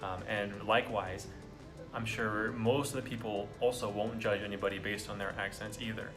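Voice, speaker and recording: A man's voice: fairly low pitch, steady tone, normal speed, music in the background. A young man from the US talking earnestly to camera in a casual vlog, encouraging viewers with frequent ums and restarts. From United States. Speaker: vlogger.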